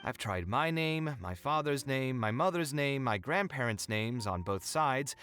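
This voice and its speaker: A man's voice: mid-range voice, lively intonation, a little slow, a bit bright, soft background music. A man playing an earnest, slightly wry young architect in an anime-style video game, speaking in an articulate, thoughtful, storytelling way. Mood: playfully exasperated. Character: earnest young male architect reminiscing, expressive, a little wry.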